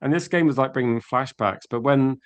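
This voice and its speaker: A man's voice: mid-range voice, fairly quick, highs cut off. A man chatting casually as the host of a football fan call-in. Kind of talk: casual chat.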